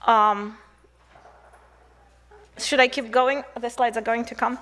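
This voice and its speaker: A woman's voice: on the high side, steady tone, slow, fairly bright tone. A woman with a Russian accent giving a conference lecture, speaking conversationally to her listeners as a researcher. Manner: conversational, uses rhetorical questions and tag "right?".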